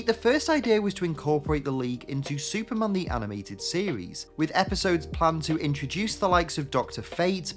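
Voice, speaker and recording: Male video essay narrator, higher-pitched and animated, scripted read over background music.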